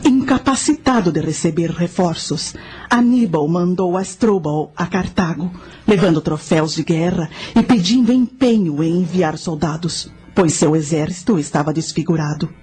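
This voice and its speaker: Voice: medium pitch, normal speed, darkish tone, soft background music, lightly acted. A performer playing a solemn guide who explains history in a formal, didactic radio drama narration. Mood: solemn, instructive.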